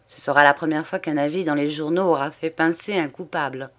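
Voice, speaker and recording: A woman reading an audiobook, voicing an excitable, talkative old man who is vain and emphatic. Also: low-pitched, brisk, close to an everyday voice. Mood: confident. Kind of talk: audiobook character dialogue.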